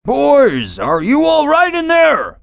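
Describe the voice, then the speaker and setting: A man voicing a sly, evil wagon master in an animated children's story, gloating in a drawn-out, menacing way. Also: very high-pitched, gravelly, no room sound, exaggerated voice. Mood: falsely friendly. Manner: slow, drawn-out, menacing delivery.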